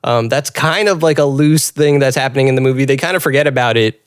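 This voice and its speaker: A man's voice: medium pitch, fast, clear, resonant tone, no room sound, clean studio sound. A man co-hosting a podcast, talking casually with friends about films and thinking aloud as he goes. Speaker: podcast co-host. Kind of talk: casual film discussion among friends.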